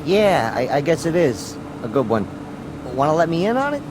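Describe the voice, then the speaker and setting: A man's voice: fairly high pitch, sing-song, moderate pace. An actor playing a puzzled, good-natured male parking lot attendant with a colloquial way of talking in an audio drama. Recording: outdoor scene with traffic noise. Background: street and car ambience.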